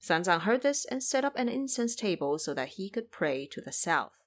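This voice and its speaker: A woman's voice: mid-range voice, big pitch swings, normal speed. A woman narrating a scripted story as a storyteller.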